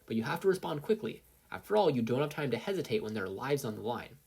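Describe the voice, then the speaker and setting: A confident female pro-hero mentor, played by a story reader. The delivery is brisk and animated, swinging widely in pitch with a husky edge. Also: exaggerated voice.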